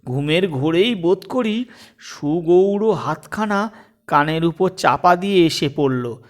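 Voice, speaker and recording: Slow, high-voiced man telling a story. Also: lively intonation. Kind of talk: expressive scripted narration of a comic story.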